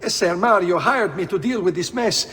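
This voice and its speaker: Exasperated high-pitched Italian architect. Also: male voice, normal speed, a bit bright. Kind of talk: video game voice acting. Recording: long reverb.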